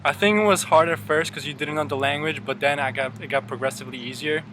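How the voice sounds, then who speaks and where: A man's voice: on the high side, fast talker, a little hiss or hum. A young man whose first language is Polish, answering interview questions casually and hedging with "um" and "like" as he goes. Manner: relaxed, hedging with "um" and "like". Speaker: student interviewee.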